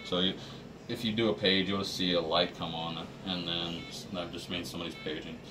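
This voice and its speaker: A man's voice: deep voice, flat delivery, normal speed, over music. A man giving an informal, practical step-by-step walkthrough of equipment, as an installer would to staff. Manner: plain, practical, explaining step by step. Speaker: installer explaining a system to staff. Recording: noisy room, distant microphone.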